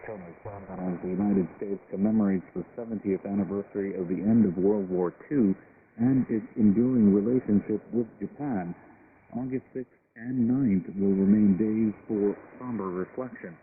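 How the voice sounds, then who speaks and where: A man's voice: fairly low pitch, fairly even intonation, moderate pace, narrow, tinny audio. A man reading scripted international news for a radio broadcast. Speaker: newsreader. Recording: noisy, band-limited shortwave radio broadcast. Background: loud shortwave static and noise.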